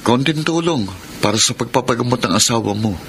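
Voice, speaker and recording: Devoted, kind Filipino tricycle driver male actor, mid-pitched man, radio drama, noisy ambience. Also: animated, brisk, natural voice. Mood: caring, earnest. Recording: clearly noisy ambient background.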